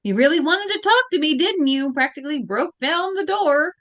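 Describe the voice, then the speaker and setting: Lively woman in a live read-through. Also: high-pitched, normal speed, muffled recording. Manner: lively, swings between whiny protest and grand recital, breaks off to laugh at slips. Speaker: voice actor reading the lead teenage girl role. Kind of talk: live read-through over video call. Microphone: video call microphone.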